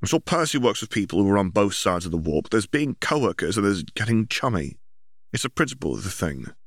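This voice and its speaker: A man's voice: fairly low pitch, sing-song, a little fast, dry recording, studio quality. A man voicing a blunt, grudging teenage boy in an audiobook story, talking casually. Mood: reluctant.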